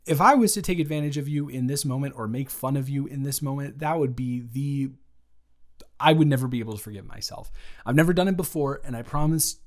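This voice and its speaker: A man performing an improvised roleplay audio, speaking slowly and carefully with a soft, reassuring manner. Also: medium pitch. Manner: slow, careful, measured speech with pauses.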